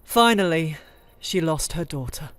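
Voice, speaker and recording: A woman narrating fantasy lore as a scripted dramatic story, with solemn, theatrical and deliberate phrasing. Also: deep voice, lively intonation, a bit bright, no room sound. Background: music bed.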